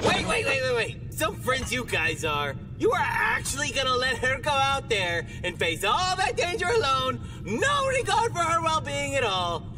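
An actor playing a cocky, childish little robot bunny with a high cartoonish voice in a video game, excitable and wisecracking. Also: normal speed, hardly any pauses, noisy background. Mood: indignant, sassy. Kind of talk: video game cutscene acting.

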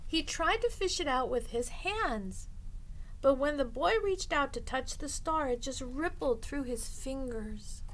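A middle-aged woman reading a picture book aloud to children as a storytime host, expressively and deliberately, drawing out the ends of phrases. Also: high-pitched, lively intonation, fairly bright tone, a little hiss or hum, low-quality recording. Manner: deliberate, drawing out phrase endings for young listeners. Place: small indoor room lined with bookshelves.

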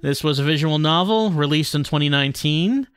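A man's voice: on the high side, a little fast, clear, resonant tone, no echo, studio quality. A man doing casual livestream commentary and reading game text aloud, in a wry, self-deprecating manner. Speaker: game streamer.

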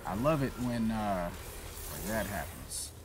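A man's voice: fairly low pitch, big pitch swings, a little slow. A man giving casual, profane gameplay commentary, griping in exasperation as he plays a shooter. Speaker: gaming video creator playing a shooter. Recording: clear game noise behind the voice. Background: shooter game sounds.

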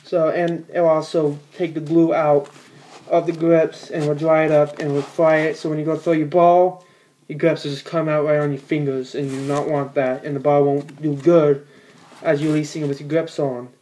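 A man's voice: a little high, flat delivery, normal speed, mellow. A teenage boy in a small room at home, giving a casual how-to in a rambling, plain-spoken way with many fillers and restarts. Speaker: bowler demonstrating ball cleaning.

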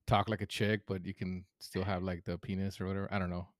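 Casting: male podcast co-host, lower register, casual and joking with slang, dry sound. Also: little pitch movement, fast, dry recording.

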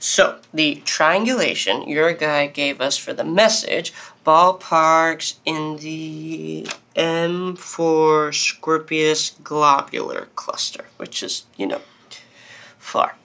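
An actor playing a young nonbinary hacker in an audio drama, irreverent and evasive, talking in casual, halting slang. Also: mid-range voice, fairly even intonation, sharp tone. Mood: explaining, wry. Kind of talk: naturalistic audio drama acting.

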